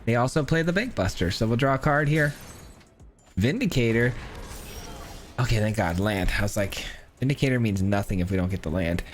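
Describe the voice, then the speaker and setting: A man with a hoarse, cracking voice gives casual commentary on his own card game, counting aloud and thinking through his plays. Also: on the low side, lively intonation, fairly quick, pauses often, faint music behind. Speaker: gameplay streamer. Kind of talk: casual live gameplay commentary.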